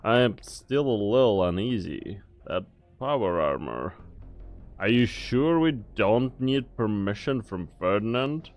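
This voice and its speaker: A man's voice: lowish voice, expressive, measured pace, soft background music. A man playing an uneasy, hesitant subordinate on a covert team in an amateur game dub. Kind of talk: English fan dub of a game story.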